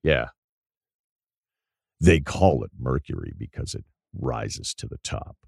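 A man with a deep voice hosting a podcast, telling rambling stories in casual chat and laughing at his own anecdotes. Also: animated, slow pace, vocal fry, a little mellow, no room sound, clean studio sound. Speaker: podcast host.

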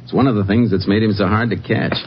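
A man's voice: fairly low pitch, steady tone, fast talker, close to an everyday voice. A man playing a cool, methodical Texas Ranger investigator in a 1950s radio drama, speaking matter-of-factly. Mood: calm, matter-of-fact. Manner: matter-of-fact. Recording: muffled, noisy old radio recording.

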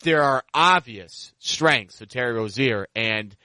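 A man's voice: mid-range voice, lively intonation, slow, bright, forceful tone, dry recording. A man hosting a casual basketball podcast, repeating phrases for emphasis as he talks. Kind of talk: casual sports talk.

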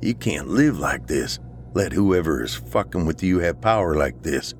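A man's voice: very deep voice, expressive, normal speed, a little hiss or hum. A man playing a worried, loyal friend in a horror story, earnest and colloquial, easily exasperated. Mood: uneasy, determined. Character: worried, loyal male friend, rattled but practical. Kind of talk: audiobook character dialogue, horror story.

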